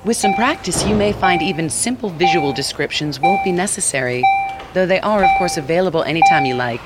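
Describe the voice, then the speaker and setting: An actor voicing a helpful AI seeing-eye app assistant in an audio drama, with a polite, measured, instructive delivery. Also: medium pitch, animated, heavy background noise, lightly acted. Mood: calm, instructive. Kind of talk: audio fiction acting, synthetic assistant delivery.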